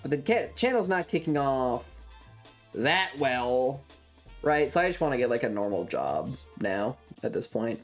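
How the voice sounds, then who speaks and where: A young adult streamer talks off the cuff to a live chat. The voice sits at a medium pitch, and the delivery wanders, doubling back on phrases with hesitation. Music plays underneath. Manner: rambling, hesitant, repeats phrases. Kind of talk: casual livestream chat.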